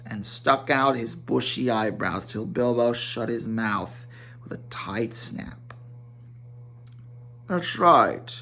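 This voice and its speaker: A man reads aloud in a dramatic storybook style. His voice sits at a middling pitch and he takes the narration slowly, as an amateur reader would. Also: fairly bright tone.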